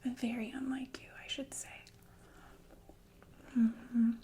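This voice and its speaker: A woman playing a sinister, falsely soothing killer nurse in an ASMR roleplay, speaking in a genteel, deliberate breathy whisper with murmured asides. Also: on the high side, flat delivery, warm tone, a little hiss or hum. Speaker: ASMR performer.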